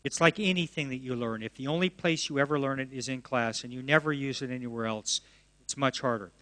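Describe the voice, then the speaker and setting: A man's voice: mid-range voice, a little fast, a bit bright. Man answering questions in an echoing hall. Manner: enthusiastic, answers at length with restarts. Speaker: presenter of educational software. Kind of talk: Q&A after a talk. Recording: long reverb of a hall.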